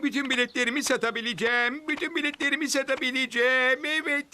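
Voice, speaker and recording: An actor voicing an excitable, lonely and whiny ticket seller creature in a children's cartoon. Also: sits high, fast. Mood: excited. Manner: dramatic, laughing and lamenting. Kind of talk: children's cartoon dub acting. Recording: music behind the voice.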